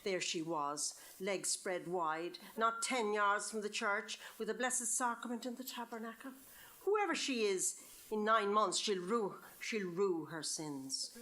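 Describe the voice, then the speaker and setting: A woman's voice: mid-range voice, measured pace, a bit bright, lightly acted. A woman with an Irish accent voicing a strict, pious, indignant father in a story reading. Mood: outraged, condemning. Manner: indignant, emphatic. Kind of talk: live storybook-style reading.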